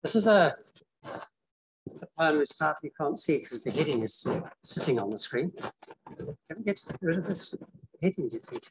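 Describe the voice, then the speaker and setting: A man's voice: a little high, sing-song, moderate pace, pauses often, slight background noise, poor audio. An older man giving an informal lecture in a lecture theatre, chatty and rambling with frequent restarts. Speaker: guest lecturer.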